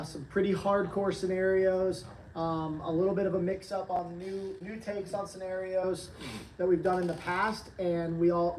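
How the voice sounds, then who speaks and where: A hoarse man hosting a casual livestream, upbeat and rambling as he reads chat comments aloud. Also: sits high, a little mellow, slight background noise. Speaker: livestream host, training company staff. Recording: roomy, distant from the mic.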